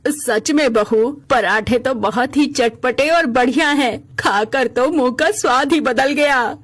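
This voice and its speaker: A woman plays a kindly old mother-in-law in a cartoon family tale. Her voice is husky and fairly high, with a gentle, homely manner. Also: slow pace. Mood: pleased. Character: kindly elderly mother-in-law in a poor household.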